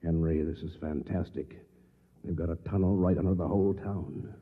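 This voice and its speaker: Bewildered American husband, middle-aged, urgent and husky, on thin vintage radio. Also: male voice, deep voice, fairly even intonation, moderate pace. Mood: incredulous. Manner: urgent, questioning, emphatic. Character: tense, bewildered suburban husband caught in a repeating day. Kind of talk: old-time radio drama acting. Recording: tinny, band-limited vintage radio recording.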